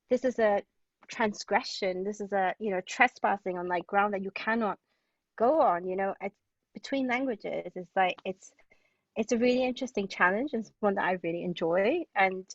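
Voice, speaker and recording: A woman's voice: mid-range voice, no echo, lo-fi sound. A woman from Hong Kong speaking casually as an interview guest, chatty and tentative in her phrasing. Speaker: playwright and translator, interview guest.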